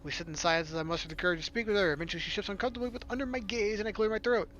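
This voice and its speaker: A woman's voice: medium pitch, steady tone, racing, muffled recording, slight background noise. A woman reading a visual novel aloud as a playthrough host, with flippant, casual asides. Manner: flippant, jokey. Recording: loud game music behind the voice.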